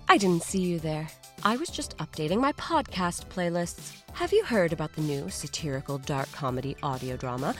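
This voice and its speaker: A woman presenting a scripted comedic podcast promo in a playful, quirky manner. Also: medium pitch.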